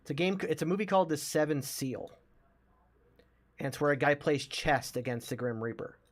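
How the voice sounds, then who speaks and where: A man's voice: on the high side, expressive, normal speed. A man chatting casually as a podcast host about films, explaining things with enthusiasm. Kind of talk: casual movie discussion.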